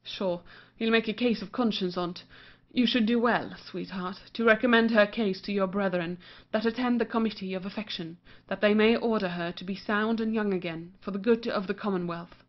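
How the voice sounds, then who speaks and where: A woman playing a saucy, sharp-tongued young mistress in an audio play of a period comedy. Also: medium pitch, fairly even intonation, normal speed, few pauses, close to an everyday voice. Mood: saucy, mocking. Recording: dull, lo-fi audio.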